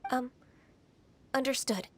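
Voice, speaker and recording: A woman playing a gentle, reserved half-human immortal in an English video game, speaking politely and formally. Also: high-pitched, takes it slowly, slight background noise. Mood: deferential, uneasy.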